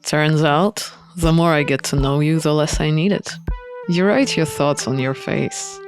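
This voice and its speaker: A woman's voice: low voice, measured pace, soft background music, pitched below the performer's own voice. A woman voicing a guarded young man, an earnest rebel schemer in a visual novel read-aloud. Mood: serious, measured.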